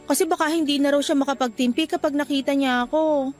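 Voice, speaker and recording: An actress with a Filipino accent playing a meek, forgiving young woman in a radio drama, apologetic and soft-hearted. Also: high voice, steady tone, quick pace, faint music behind, lightly acted. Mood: hurt, explaining. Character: meek, forgiving young woman excusing her jealous boyfriend.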